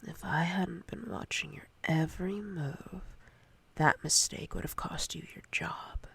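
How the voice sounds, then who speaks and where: Woman acting as controlling female boss, low-pitched, very breathy husky whisper, slow deliberate delivery, seductive teasing, ASMR roleplay. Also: fairly bright tone, heavily acted. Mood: stern, controlling.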